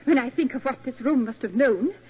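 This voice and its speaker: A woman's voice: high-pitched, animated, a little fast, heavily acted. A genteel lady tourist. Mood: eager politeness. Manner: refined, gushing, breathy politeness. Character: genteel young English lady tourist, eager and polite. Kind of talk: 1940s radio acting. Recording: dull old radio broadcast.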